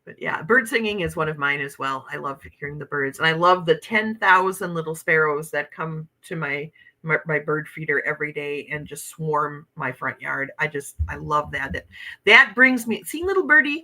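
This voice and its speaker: A woman's voice: sits low, expressive, moderate pace, sharp tone, rough recording. A woman in her fifties hosting a casual livestream, chatting and laughing as she reads out viewer comments. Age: 50s. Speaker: livestream host.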